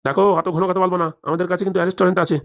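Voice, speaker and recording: A woman's voice: lowish voice, level tone, very fast, natural voice. A woman voicing a stern, pushy government inspector in a comic cartoon, speaking with a rural Bengali dialect. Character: officious, bullying government inspector threatening arrest.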